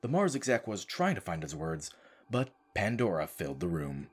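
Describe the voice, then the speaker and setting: A man's voice: deep voice, big pitch swings, normal speed, slightly rough. A man narrating an audio drama as a storyteller, with a wry, informal turn of phrase.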